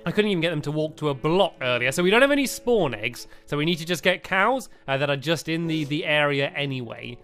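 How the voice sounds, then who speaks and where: A man chats casually over gameplay, his pitch bouncing lively as he rambles and restarts his sentences. Faint background music sits under his voice. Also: fast talker, few pauses. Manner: rambling, filler-heavy, breaks into excited yelps. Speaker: gaming co-host.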